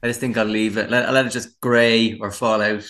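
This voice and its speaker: A man's voice: fairly low pitch, little pitch movement, brisk, a bit bright. An Irish man in his forties, a musician chatting casually in an interview with a wry, self-deprecating manner. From Ireland. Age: 40s.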